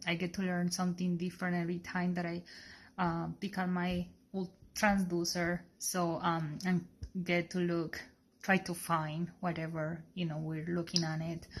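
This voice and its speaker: A young woman from Colombia with a Colombian Spanish accent, a sonography student telling her story haltingly, with many fillers and restarts. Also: fairly low pitch. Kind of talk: casual personal testimony.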